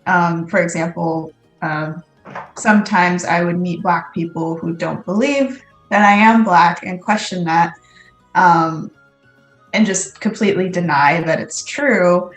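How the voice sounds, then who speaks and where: Woman guest on a podcast, thoughtful, lots of pauses, echoey room with faint music. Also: on the low side. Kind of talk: casual interview.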